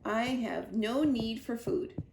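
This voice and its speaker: A woman voicing a calm, polite female robot in a storybook, speaking in plain, formal sentences. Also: a little high, slow, creaky, slight background noise, poor audio. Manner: formal, plain and even-toned.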